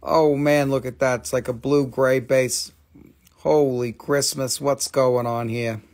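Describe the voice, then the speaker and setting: A middle-aged man hosting a live sales stream, talking casually and excitedly, exclaiming and swearing as he shows stones at a table indoors. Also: mid-range voice, takes it slowly. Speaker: live-stream gem seller.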